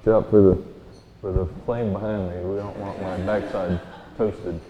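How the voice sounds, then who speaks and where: A man with a booming voice giving a guest sermon in a church, telling stories in a folksy, relaxed way with many fillers. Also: normal speed, a crowd in the background. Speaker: guest speaker. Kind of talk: sermon with personal story. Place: church sanctuary. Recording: echoey hall, tinny phone-like sound.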